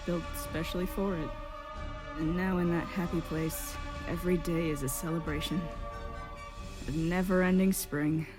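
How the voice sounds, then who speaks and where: Woman voicing a low, wistful exiled court painter. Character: exiled male court painter, centuries old, melancholy and wistful. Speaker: voice actor in a fan dub. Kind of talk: dramatic storytelling monologue. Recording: music behind the voice. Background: instrumental music.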